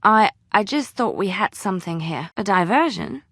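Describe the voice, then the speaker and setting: A woman's voice: mid-range voice, animated, moderate pace, no room sound, clean studio sound. A woman playing an earnest, tender-hearted young adventurer in a fantasy video game, speaking hesitantly and sincerely. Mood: flustered, hesitant, then doubtful. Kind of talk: dramatic video game dialogue.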